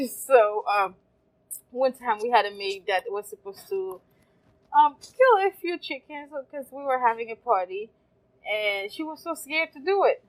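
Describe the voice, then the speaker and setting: A woman's voice: fairly high pitch, a little slow, rough recording. A woman, telling stories as a guest in a casual interview and laughing often. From Haiti. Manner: lively storyteller, laughs often. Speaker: guest, caterer and café owner. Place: farmers market.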